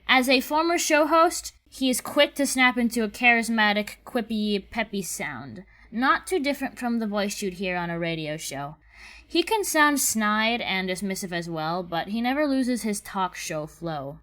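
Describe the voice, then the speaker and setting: A woman narrates a scripted casting call at an average pitch for a female voice. Her delivery is measured and methodical as she goes through each description. Her intonation moves up and down a lot, keeping the reading lively.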